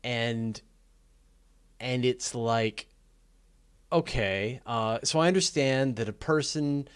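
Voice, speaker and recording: A man hosting a live question-and-answer stream in casual, unscripted speech, haltingly restarting his phrases as he rambles along. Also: mid-range voice, smooth. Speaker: host of a live astronomy Q&A stream. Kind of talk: casual, unscripted livestream chat.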